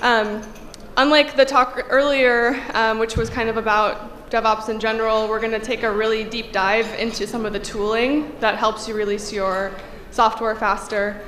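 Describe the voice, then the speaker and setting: A woman's voice: a little high, steady tone, moderate pace, hardly any pauses, fairly bright tone. A woman in her thirties giving a technical conference talk at a podium, a little congested from a cold, speaking conversationally with frequent fillers. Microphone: podium microphone. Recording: echoey hall, faint room hum.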